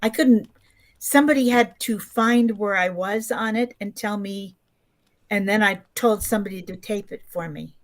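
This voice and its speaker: A woman's voice: mid-range voice, normal speed. A woman in her seventies telling stories casually as an interview guest, emphatic and often acting out what people said. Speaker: podcast guest, actress.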